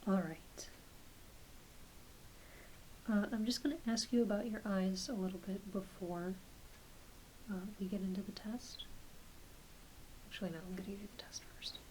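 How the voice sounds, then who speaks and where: A woman voicing a gentle, reassuring doctor in a soft, mostly whispered ASMR medical roleplay, murmuring encouragement. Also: mid-range voice, fairly even intonation, normal speed, long pauses, slight background noise, natural voice, poor audio. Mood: conversational, attentive. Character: gentle, reassuring werewolf doctor giving an exam. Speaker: ASMR performer.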